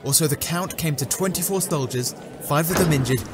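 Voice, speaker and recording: Man acting a dutiful soldier, higher-pitched voice. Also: brisk, close to an everyday voice. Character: dutiful male soldier reporting to his superior. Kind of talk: fantasy audio drama acting. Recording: loud music under the voice.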